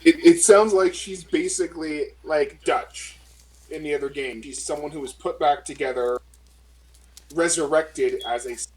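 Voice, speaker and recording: A man playing a tabletop game, chatting casually and thinking aloud in halting phrases. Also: on the high side, low-quality recording.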